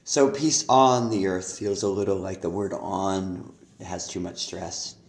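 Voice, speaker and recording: Male teacher, hoarse with laryngitis, very low gravelly voice; gentle, halting feedback with many ums. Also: steady tone, reverberant. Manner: hesitant, many ums, speaks lyrics aloud slowly. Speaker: songwriting teacher. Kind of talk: spoken feedback on a student's lyrics.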